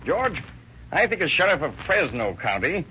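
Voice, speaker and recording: An actor playing a brisk, commanding police chief in a 1930s radio drama. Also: male voice, fairly high pitch, very animated, bright, forceful tone. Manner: brisk, commanding, summing things up. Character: authoritative police chief running a meeting. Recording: dull old radio recording with hiss.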